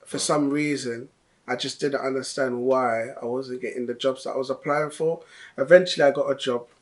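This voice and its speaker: Young man, earnest and halting. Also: medium pitch, fairly even intonation. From London. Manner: earnest, repeats and restarts words. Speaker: delivery driver. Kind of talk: interview testimony.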